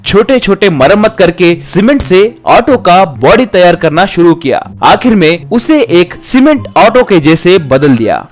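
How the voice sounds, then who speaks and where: A man's voice: sits high, big pitch swings, unhurried, few pauses, soft background music. A man reading a scripted moral tale as a storytelling narrator.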